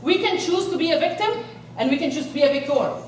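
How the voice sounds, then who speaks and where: High-voiced woman speaking in an echoey hall. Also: fast talker, far from the mic, a little hiss or hum. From Egypt. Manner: energetic, rallies the crowd with questions. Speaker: motivational speaker. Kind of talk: inspirational speech to a live audience. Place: large event hall.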